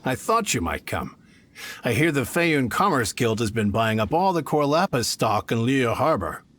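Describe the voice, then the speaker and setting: A man's voice: medium pitch, very animated, moderate pace, a bit bright. An actor voicing a greedy middle-aged male merchant in a game, smug and haggling. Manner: smug bargaining, turns pleading. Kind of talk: game voice acting.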